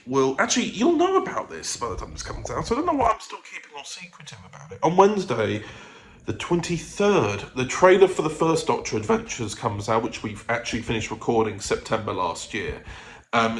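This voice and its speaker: A man chatting casually to his vlog audience in a rambling, self-interrupting way. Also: medium pitch. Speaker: vlogger and audio drama producer. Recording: noticeable background noise.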